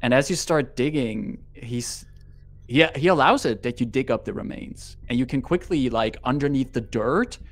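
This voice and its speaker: A man narrating a tabletop roleplaying game as game master, with deliberate, evocative storytelling. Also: medium pitch, animated.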